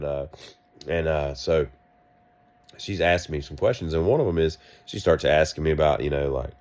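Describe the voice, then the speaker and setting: A man with a deep voice, sick and coughing, telling a rambling, wry story in a vlog. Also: darkish tone. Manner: wry, self-mocking, meandering asides. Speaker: vlogger.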